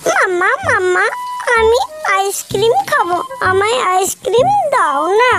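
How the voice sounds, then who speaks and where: Cartoon baby bird girl, voiced by a woman: whiny, pleading and very high-pitched. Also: lively intonation, measured pace, over music. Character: little whiny girl bird, demanding and fearful. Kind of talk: cartoon storybook voice acting.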